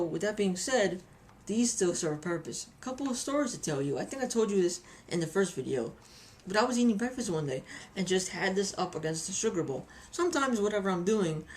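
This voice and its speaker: A woman's voice: mid-range voice, a little mellow, a little hiss or hum. A woman giving a casual, chatty hobby review with anecdotes, often repeating phrases for emphasis.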